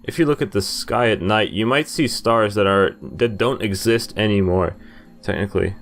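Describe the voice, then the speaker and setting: A young man with a fairly low voice hosts a casual game playthrough. He rambles a little, feeling his way through sentences and reading text aloud. Game music plays behind him. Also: moderate pace, a bit bright. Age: young adult. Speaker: gaming video host.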